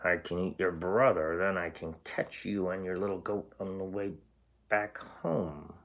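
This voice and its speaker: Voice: low-pitched, steady tone, unhurried, lo-fi sound. Someone putting on a roaring, greedy old troll. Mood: scheming, muttering. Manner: roaring threats, muttering when scheming. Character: hideous, stinky old male bridge troll, greedy bully.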